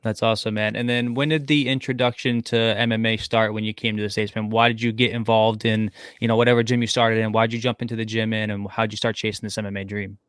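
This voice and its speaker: A male host rattles through his questions at a brisk clip, keeping his pitch low and nearly flat. His voice is smooth and clear, and the sound is close and dry with no room echo. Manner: quick, casual, calls guest "man" often. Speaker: podcast host. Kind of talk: interview hosting.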